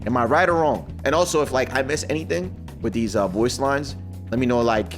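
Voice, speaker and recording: Male reaction streamer: conversational, slangy, exclaiming, middling pitch, light music underneath. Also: animated, brisk. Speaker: streamer reacting to game voice lines. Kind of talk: casual reaction commentary.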